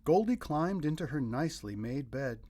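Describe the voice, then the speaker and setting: A woman with a husky voice narrating a children's storybook aloud in an animated read-aloud style. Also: sits low, normal speed.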